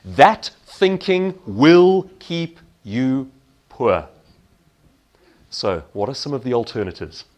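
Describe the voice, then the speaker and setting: Animated male motivational keynote speaker. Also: mid-range voice, takes it slowly. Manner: emphatic, rhetorical questions answered himself.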